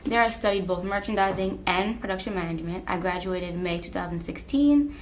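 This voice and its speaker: A woman's voice: medium pitch, brisk, slight background noise. A woman in her twenties from Long Island with an American accent, talking candidly and emphatically in a documentary interview. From Long Island, New York, USA. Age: 20s. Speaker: college alumna being interviewed.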